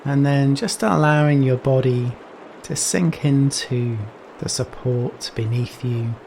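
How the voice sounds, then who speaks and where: A man leading a guided meditation in a gentle, soothing manner, leaving long pauses between phrases. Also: medium pitch. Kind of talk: guided Yoga Nidra meditation. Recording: steady rain noise behind voice. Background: rain sounds.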